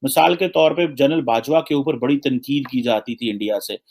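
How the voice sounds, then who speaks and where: Creaky-voiced Pakistani male analyst. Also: medium pitch, normal speed, a little mellow. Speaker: political analyst. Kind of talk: analytical discussion mixing in English phrases.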